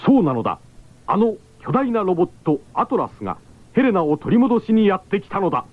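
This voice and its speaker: A man's voice: high voice, sing-song, brisk. A man narrating a 1970s radio drama with emphatic, exclamatory storytelling. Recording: tinny 1970s radio broadcast.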